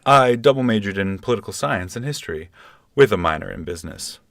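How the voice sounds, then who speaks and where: Man playing a deep-voiced galactic politician. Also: animated, quick pace, fairly bright tone, dry recording, clean studio sound. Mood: earnest, modest. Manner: earnest politician's formal, self-justifying talk. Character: smooth, defensive male galactic presidential candidate. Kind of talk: comic sci-fi radio theatre.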